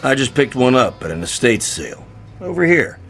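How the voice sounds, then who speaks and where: An actor playing a curt, misanthropic junk shop owner in a radio drama, blunt, casual and unimpressed. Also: male voice, mid-range voice, very animated, normal speed, a bit bright, faint music behind. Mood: grudging.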